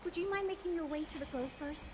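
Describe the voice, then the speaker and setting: A woman voicing a gentle young healer who speaks softly and earnestly. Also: sits high, fast, noisy background, natural voice. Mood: concerned. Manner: soft, caring and earnest. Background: game music.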